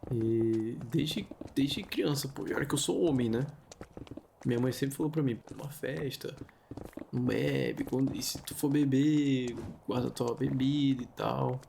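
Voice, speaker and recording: A Brazilian man hosts a story-reading video in a casual, conversational style. His mid-pitched voice has a creaky, gravelly edge. He slips in informal asides, and there is faint noise behind him. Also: a little slow. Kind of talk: casual chatty commentary and storytelling.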